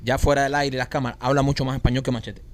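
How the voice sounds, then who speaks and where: A man's voice: medium pitch, flat delivery, rapid-fire, no room sound. A man co-hosting a podcast, arguing insistently in casual chat. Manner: argumentative, insistent, repeats himself. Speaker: podcast co-host.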